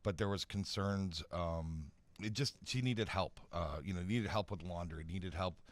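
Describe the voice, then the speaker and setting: Deep-voiced man explaining informally. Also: unhurried, no room sound. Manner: hesitant explaining, repeats phrases, seeks agreement with "right?" and "you know". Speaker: guest expert on home accessibility. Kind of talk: informal radio interview talk. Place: radio studio.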